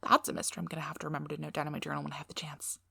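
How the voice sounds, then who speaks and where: A woman's voice: sits low, level tone, racing, gravelly, pushed and bright, husky, no echo, studio quality, full character voice. A woman playing a nervous, eager researcher in an ASMR roleplay, rambling in a flustered, apologetic way. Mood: nervous, wry. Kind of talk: ASMR roleplay audio drama.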